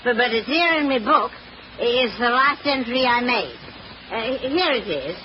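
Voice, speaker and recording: A woman's voice: a little high, lively intonation, measured pace. Old English lodging-house landlady, blunt working-class grumbler, 1940s radio drama, dull hissy sound. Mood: recollecting. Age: older. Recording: muffled, noisy old radio recording.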